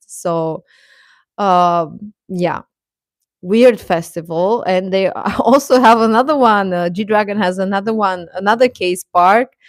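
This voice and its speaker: A woman hosting a casual livestream, chatting and commenting in a hesitant, halting way. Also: mid-range voice, no echo, clean studio sound. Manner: hesitant, halting, chuckles often while talking. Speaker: livestream host reading viewer comments.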